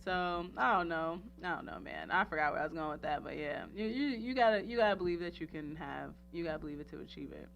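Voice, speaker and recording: A woman in casual radio chat, talking in a giggly, animated and freely swearing way. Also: lowish voice, quick pace, creaky, hardly any pauses, slight background noise.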